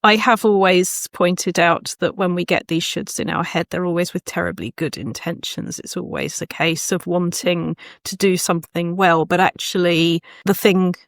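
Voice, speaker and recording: A woman's voice: lowish voice, fairly even intonation, moderate pace, few pauses, no room sound, studio quality. A woman hosting a conversational interview, speaking in a reflective, encouraging way. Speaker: podcast host, writing coach.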